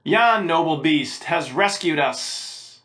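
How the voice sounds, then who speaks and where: Lady doing a noble old-timey knight from a storybook, lowered voice, pompous and courtly. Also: expressive, takes it slowly, a bit bright, exaggerated voice. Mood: solemn relief. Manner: archaic, pompous, chivalrous speech. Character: weary, gallant old-fashioned male knight in armour. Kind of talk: dramatic storybook reading.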